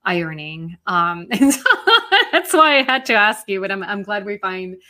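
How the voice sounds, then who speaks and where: A woman from Serbia chatting casually in an expressive, reflective and informal way. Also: mid-range voice, moderate pace. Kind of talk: casual chat.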